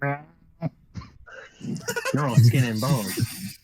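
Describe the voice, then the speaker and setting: A man's voice: mid-range voice, extremely slow, background noise. A man voicing a brash, bloodthirsty devil girl who dotes on cats, theatrical and gloating, in an anime fan dub. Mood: fond, murderous.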